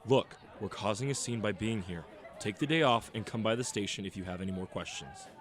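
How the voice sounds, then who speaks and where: A small-town cop from an audio drama, played by a man. His voice is on the low side, firm and placating. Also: a little fast, crowd noise, lightly acted.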